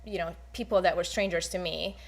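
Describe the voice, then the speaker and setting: Candid young woman, low voice. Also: fairly even intonation, a little fast, creaky. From Hungary. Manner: candid, with many fillers. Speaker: guest. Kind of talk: personal testimony in an interview.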